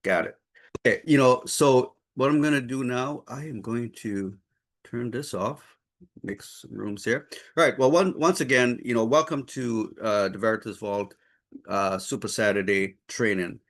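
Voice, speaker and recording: A man from the Caribbean hosting an informal online webinar, upbeat and effusive, in a wordy, halting way. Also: mid-range voice, fairly bright tone. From Trinidad and Saint Croix, Caribbean. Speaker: host and trainer of online group call.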